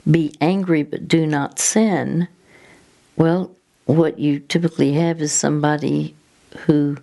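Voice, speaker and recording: Slow-spoken low-voiced woman host. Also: creaky, dark tone. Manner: slow, halting, searching for words. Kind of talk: faith-based conversation.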